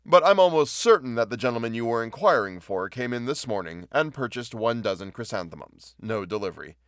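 A man reading a story as a smug, condescending male florist with an affected, unctuous, drawn-out delivery. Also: medium pitch, lively intonation, no room sound, studio quality, lightly acted. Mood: offended, curt.

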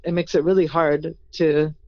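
A chef answering interview questions in an earnest, thoughtful way, often pausing on "you know". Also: medium pitch, level tone, darkish tone, lo-fi sound.

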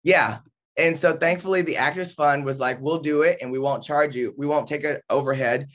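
A man's voice: a little high, fairly even intonation, normal speed, a bit bright. A man talking as an interview guest, casual and rambling, often restarting his sentences.

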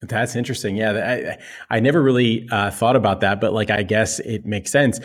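A man's voice: lowish voice, moderate pace. Casual male podcast host. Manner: casual, conversational with fillers. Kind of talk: sales podcast interview.

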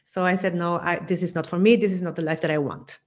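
A woman's voice: lowish voice, fairly even intonation, fast. A woman in her forties from Chile, a psychologist guest talking chattily with frequent laughs and restarts. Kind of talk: conversational interview.

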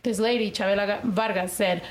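A woman's voice: mid-range voice, steady tone, brisk, a little hiss or hum. A young American woman hosting a casual chat, rambling and switching between English and Spanish, with lots of fillers. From United States. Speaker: vlog host.

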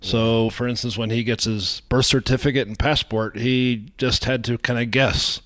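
A man who is a guest in a casual interview, explaining things in a relaxed way. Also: medium pitch, moderate pace, no room sound. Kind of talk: casual conversation.

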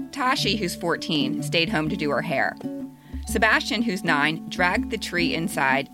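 A middle-aged woman who co-hosts a podcast, speaking in an emphatic, plain-spoken way as she chats and reads personal essays. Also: low-pitched. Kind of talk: casual chat and personal essay reading.

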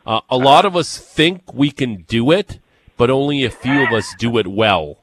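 A man's voice: medium pitch, lively intonation, moderate pace, slight background noise. A man with a mid-range voice hosts a sports talk show on a studio microphone. His delivery is easygoing and wry. He often slips jokes in between his questions. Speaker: sports radio host. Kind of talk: casual sports radio chat.

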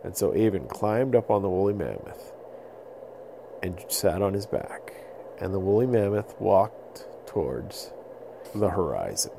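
A man telling an improvised bedtime story to children in simple, explanatory phrases with pauses. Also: low voice, big pitch swings, creaky, mellow, slight background noise, rough recording. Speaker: podcast storyteller.

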